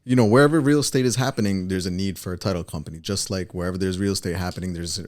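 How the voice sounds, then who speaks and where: A man speaking casually as an interview guest, in a halting way, often repeating and correcting himself. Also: deep voice, a little mellow. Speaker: podcast guest, title company executive. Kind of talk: casual business interview chat.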